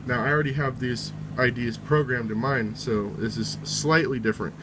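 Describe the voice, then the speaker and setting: A man's voice: mid-range voice, steady tone, normal speed, noisy background. A man giving a casual, step-by-step how-to in an informal way, often reiterating each step. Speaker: tutorial presenter.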